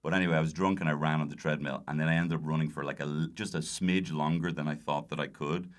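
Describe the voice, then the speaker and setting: A man with an Irish accent and a very deep voice. He talks casually as a guest comedian, wandering through his stories with a self-deprecating touch. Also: steady tone, quick pace. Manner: rambling, self-deprecating storyteller. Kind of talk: casual anecdotal chat.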